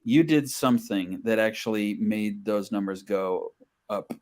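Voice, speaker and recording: A man's voice: fairly low pitch, measured pace, darkish tone. A man co-hosting a casual interview, building up a question for the guest. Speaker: co-host interviewer.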